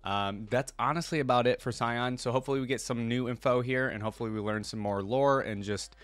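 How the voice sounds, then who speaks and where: A man's voice: medium pitch, fairly even intonation, a little fast, no room sound. Dry, close-miked man doing reaction commentary. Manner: conversational, interjects his own reactions while reading. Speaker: YouTube reaction host. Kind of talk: casual reaction commentary mixed with reading lore aloud.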